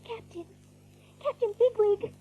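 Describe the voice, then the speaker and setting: Young doe rabbit: frightened, earnest, pleading, very high voice; audio drama, slightly dull sound. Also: female voice, unhurried, slight background noise. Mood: panicked, pleading. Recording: muffled lo-fi tape.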